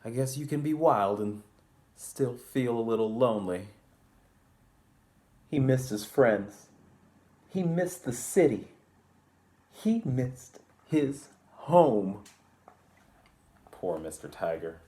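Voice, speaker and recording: A man presents a picture book for children at an unhurried pace. His pitch moves widely as he reads, with a genteel, theatrical manner and little asides to his young listeners. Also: animated, dark tone. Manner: polite, genteel, theatrical delivery. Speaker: library storytime presenter.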